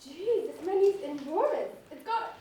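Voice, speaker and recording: Woman playing exasperated young female date, stage comedy, lively swooping intonation, distant mic. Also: extremely high pitch, unhurried. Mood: eager, helpful. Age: teenager or young adult. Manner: expressive, incredulous. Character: eager young woman on a trying dinner date, growing exasperated. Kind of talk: amateur stage comedy acting. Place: echoey indoor stage, recorded from afar. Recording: echoey stage, distant mic, faint noise.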